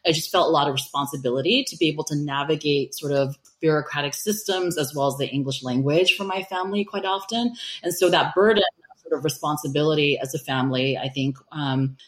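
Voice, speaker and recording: A woman with an American accent speaks thoughtfully and reflectively as an interview guest, softening her points with small qualifiers. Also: low-pitched. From born in Taiwan, raised in the United States from age five. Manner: thoughtful, hedging with kind of and sort of. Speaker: interview guest, public education professional.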